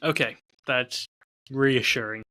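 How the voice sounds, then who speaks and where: Man, podcast co-host, medium pitch, crisp bright edge, dry close sound, self-deprecating casual banter. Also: slow, no echo.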